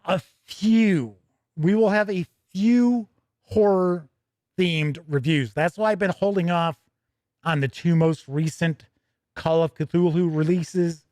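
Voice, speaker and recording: A man's voice: high-pitched, slow pace, a little mellow, dry recording, clean studio sound. A man hosting a casual livestream, talking in a rambling, conversational way and often interrupting himself as he goes. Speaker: livestream host. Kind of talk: casual livestream chat, answering viewer questions.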